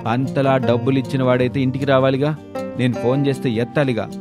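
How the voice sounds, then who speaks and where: A man's voice: mid-range voice, level tone, fairly quick, music in the background, lightly acted. A man voicing a harsh, confrontational moneylender in a dramatic storybook tale. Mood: angry, sarcastic. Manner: bullying, sarcastic, confrontational. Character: loud harsh male moneylender demanding repayment.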